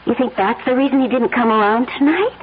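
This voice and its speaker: An actress playing a trusting, puzzled, affectionate wife in an American radio drama, with a tentative, questioning manner. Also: female voice, a little high, lively intonation, fast talker, slightly rough. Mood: puzzled, concerned. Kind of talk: old-time American radio drama acting. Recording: dull radio drama recording, faint noise.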